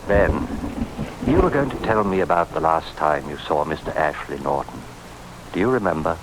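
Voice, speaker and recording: A man playing a grave, courteous middle-aged police inspector in a vintage radio drama, speaking deliberately. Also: a bass voice, expressive. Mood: troubled. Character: haunted, courteous Scotland Yard inspector with lost past. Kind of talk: 1940s radio drama acting. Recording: noisy old radio recording.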